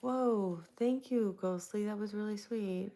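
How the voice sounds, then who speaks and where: A soft-spoken woman from Tampa, Florida, chatting casually with viewers while hosting a live stream into a plugged-in microphone. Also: mid-range voice, measured pace. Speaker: livestream host.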